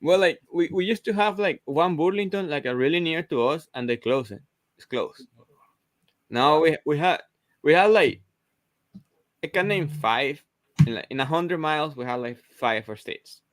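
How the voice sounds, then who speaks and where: Man, halting and dry. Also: on the high side, expressive, no echo. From Venezuela. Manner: hesitant, many restarts and "like" fillers. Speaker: host of a reselling livestream. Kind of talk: casual livestream chat.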